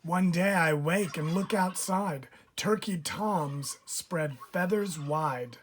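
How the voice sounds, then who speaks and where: A woman with a fairly low voice reads a children's rhyming storybook very slowly. Her phrasing is measured and expressive. There is clear background noise with turkeys gobbling behind her. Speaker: picture book reader. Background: turkeys gobbling and clicks.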